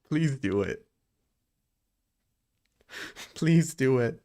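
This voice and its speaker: A man chats casually on a live coding stream, his voice gravelly with creak at the ends of phrases. He rambles and thinks aloud, drifting between his code and the viewers' messages. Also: medium pitch, dark tone. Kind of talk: casual livestream chat while coding.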